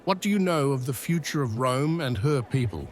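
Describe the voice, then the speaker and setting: A man playing a grand, excitable Roman emperor in a fantasy audio drama, given to flustered stammering. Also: medium pitch, normal speed, a little hiss or hum, close to an everyday voice. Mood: curious, playful. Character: excitable, easily swayed male Roman emperor. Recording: faint music underneath. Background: music.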